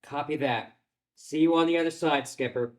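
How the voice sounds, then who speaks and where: Steady, brave military pilot, played by a male actor, higher-pitched voice, clipped radio delivery. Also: normal speed, lightly acted. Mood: resolute. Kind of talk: screenplay table read. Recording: filmed table read.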